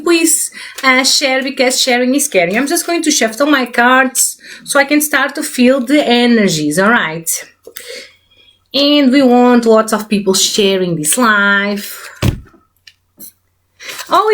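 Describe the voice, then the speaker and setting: A woman's voice: fairly high pitch, fairly bright tone. A woman with a strong Portuguese accent hosts a casual live stream as a psychic medium, chatting and greeting viewers by name.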